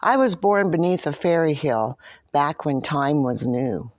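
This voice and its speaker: A woman's voice: low-pitched, expressive, unhurried, a little mellow, lo-fi sound, a little hiss or hum. A woman voicing a grumpy, boastful little old male leprechaun shoemaker from a storybook, feisty and indignant. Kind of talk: storybook character narration.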